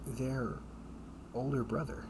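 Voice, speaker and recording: Man acting a slow-talking, sardonic, menacing older brother. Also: medium pitch, steady tone, natural voice. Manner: casual, halting, mocking, breathy asides. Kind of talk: amateur audio roleplay acting, one-sided dialogue. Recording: noisy amateur home recording.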